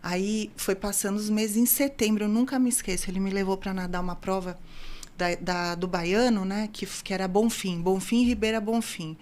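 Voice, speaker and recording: A woman in her fifties from São Paulo, a guest on an interview podcast, telling her story in a lively way and acting out the dialogue she quotes. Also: mid-range voice, normal speed. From São Paulo, Brazil. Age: 50s. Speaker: guest, open-water ultramarathon swimmer.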